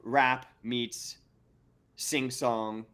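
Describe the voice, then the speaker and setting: A man in a middle pitch range who hosts a relaxed interview. He rambles, restarts his words, and keeps breaking into laughter as he talks. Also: drawn-out words. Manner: rambling, stammering, laughs often. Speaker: podcast host. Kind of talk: casual interview chat.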